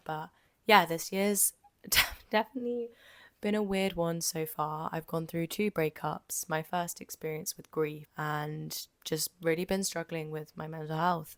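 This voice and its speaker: A young woman from Jersey with a slightly croaky voice, hosting her podcast in a candid, chatty way with frequent ums and likes and casual swearing. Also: deep voice, fairly even intonation, moderate pace, dry recording. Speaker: podcast host. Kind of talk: casual solo podcast monologue.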